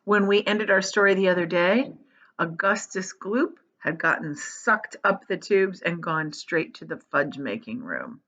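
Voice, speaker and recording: A woman reads a children's storybook aloud with very lively, swinging intonation and emphasis on descriptive words. The recording is dry and slightly dull. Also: lowish voice, a little slow, no echo. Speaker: storybook reader. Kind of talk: expressive children's read-aloud.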